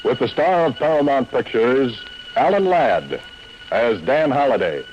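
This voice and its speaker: A man's voice: medium pitch, big pitch swings, measured pace, very noisy. A man with an American accent announcing a 1940s radio show in a grand, theatrical style. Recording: dull old radio recording, loud music.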